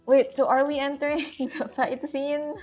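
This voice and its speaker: A woman's voice: high-pitched, little pitch movement, moderate pace, darkish tone. A woman chatting casually as she live-streams a game. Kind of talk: casual live-stream chat while gaming. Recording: game music behind the voice.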